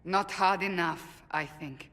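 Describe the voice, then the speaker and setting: A woman's voice: fairly low pitch, slow, fairly bright tone. A woman voicing a stern, intense warrior in a video game, speaking in a grave, clipped and emphatic way. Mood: bitter, regretful. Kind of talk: dramatic video game acting. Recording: long hall reverb.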